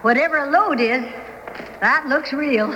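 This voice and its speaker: An actress playing a skeptical, plain-spoken frontier wife who questions everything in a Western radio drama. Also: female voice, high voice, measured pace, sharp tone. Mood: curious. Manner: incredulous, questioning. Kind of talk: old-fashioned Western radio drama acting. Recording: noisy 1970s radio drama broadcast.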